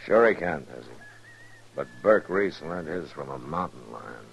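An actor playing a steady, laconic frontier marshal in a 1950s radio western, speaking in a dry, understated way. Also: male voice, a bass voice, measured pace, creaky, full character voice. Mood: dry, easygoing. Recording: muffled old radio recording, noisy crowd.

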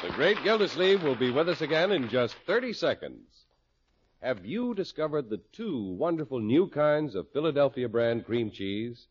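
An American man working as a 1950s radio announcer, reading scripted credits and sponsor messages. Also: mid-range voice. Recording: muffled old radio broadcast with music.

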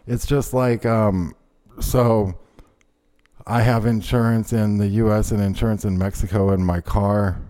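A man with a low, dark and relaxed voice chats as a podcast host. His talk is loose and informal, peppered with profanity, coming over an internet call. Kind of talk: casual chat. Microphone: remote internet call.

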